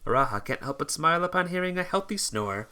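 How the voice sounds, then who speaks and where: A man's voice: fairly high pitch, expressive, fast, pushed and bright, smooth. A man reading a visual novel aloud as amateur narration, sometimes stumbling and rereading phrases.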